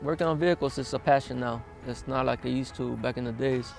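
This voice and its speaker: A man in his twenties, interviewed outdoors in a desert yard, speaking plainly with many hesitant pauses. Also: medium pitch, vocal fry, faint music behind. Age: 20s. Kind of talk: documentary interview, recounting his past.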